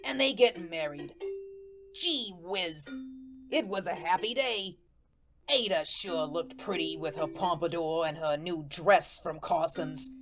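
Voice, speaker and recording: A woman's voice: lowish voice, slow pace. Folksy wise-guy ghost played by a woman, radio play narrator, wry and streetwise, light music underneath. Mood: wistful. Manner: colloquial, slangy, streetwise storytelling. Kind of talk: first-person radio play storytelling.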